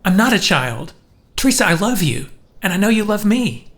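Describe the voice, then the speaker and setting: A man's voice: sits high, sing-song, moderate pace. Man playing a wounded, volatile jilted lover. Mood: hurt, pleading. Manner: emotional, protesting. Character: jilted, wounded, hot-tempered male lover. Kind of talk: scripted audio drama acting.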